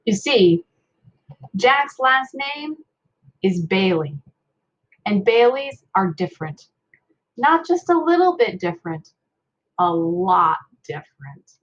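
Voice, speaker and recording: A woman narrating a children's book aloud in an expressive storytelling style. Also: mid-range voice, slow pace, long pauses, highs cut off.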